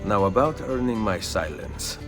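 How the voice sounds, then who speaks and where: An actor playing a confident, charming Renaissance nobleman assassin in a video game, speaking in a suave, measured Italian accent. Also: on the low side, lively intonation, music in the background. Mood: flirtatious, suave. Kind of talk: video game cutscene acting.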